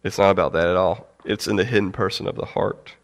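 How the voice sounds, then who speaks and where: A young man with a cold giving a casual, halting Bible teaching to his church, with fillers and light laughs. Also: deep voice, fairly even intonation. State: has a cold.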